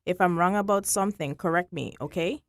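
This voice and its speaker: A woman playing a patient, reassuring child therapist in a staged drama, measured and gently probing. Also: lowish voice, slight background noise, close to an everyday voice.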